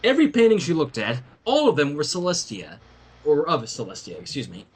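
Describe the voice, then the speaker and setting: High-pitched, highly animated male story reader. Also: brisk, slightly rough. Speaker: fan fiction reader. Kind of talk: animated story narration with casual asides.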